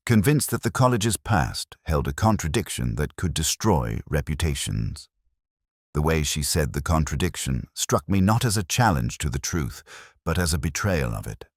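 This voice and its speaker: A weary, contemplative male inspector played by a man narrates a detective story in a very deep voice. The delivery is solemn, literary and measured, yet the pitch moves expressively. The recording is dry, with no room around the voice. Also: no room sound, exaggerated voice. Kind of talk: scripted first-person detective narration.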